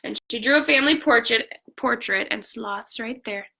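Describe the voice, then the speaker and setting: A woman's voice: fairly high pitch, moderate pace, creaky, sharp tone, husky. A woman reading a picture book aloud as a bedtime story, drawing out words for emphasis and adding asides to her viewers.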